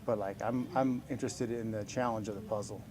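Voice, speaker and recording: A man's voice: lowish voice, fairly even intonation, a little fast, noisy background. A man in his forties answering reporters' questions in a casual, upbeat way, often chuckling and joking about himself. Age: 40s. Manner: upbeat, chuckling, self-deprecating. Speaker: professional fighter being interviewed. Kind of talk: casual press scrum answers.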